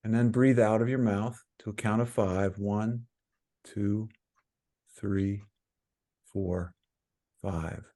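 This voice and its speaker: A man leading a guided meditation as a teacher, giving calm, soothing instructions with frequent pauses. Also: on the low side.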